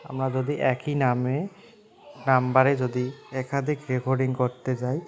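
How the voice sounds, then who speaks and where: Even-toned man giving instructions. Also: mid-range voice, moderate pace, slight background noise. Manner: instructional, repetitive step-by-step phrasing. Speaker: tech tutorial host. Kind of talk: step-by-step phone app tutorial narration.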